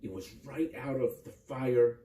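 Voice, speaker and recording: A man narrating a children's story in an expressive, simple way, with dramatic repetition. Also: mid-range voice, a little slow. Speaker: priest and storyteller. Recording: roomy echo, distant microphone.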